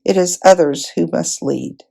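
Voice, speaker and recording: A woman voicing a great wise male lion in a storybook, speaking gently and gravely. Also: fairly low pitch, heavily acted. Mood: gentle, grave. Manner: solemn, gentle, measured.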